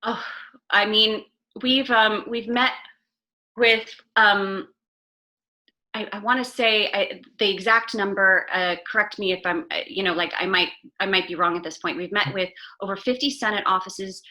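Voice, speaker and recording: A woman's voice: medium pitch, steady tone, moderate pace, pushed and bright. A woman guest in an interview, an arts advocate who speaks earnestly with many "um" fillers and restarts. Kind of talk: casual interview chat.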